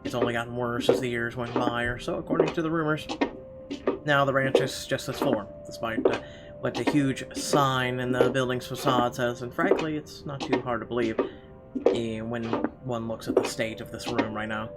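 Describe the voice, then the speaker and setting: A man narrating a visual novel as a let's player, reading aloud a little haltingly with casual asides. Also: mid-range voice, steady tone. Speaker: gamer reading the story aloud. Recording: game music clearly behind the voice.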